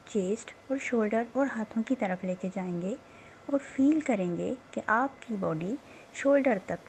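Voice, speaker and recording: A woman meditation instructor leading a slow guided relaxation in a soothing, unhurried, gently instructing manner with pauses. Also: mid-range voice, a little hiss or hum, poor audio.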